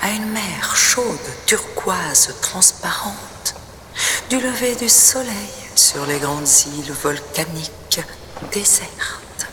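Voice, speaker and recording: Slow, reverberant woman reciting poetry. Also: mid-range voice, noisy background. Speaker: poet reading own poem. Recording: long reverb, noticeable background ambience.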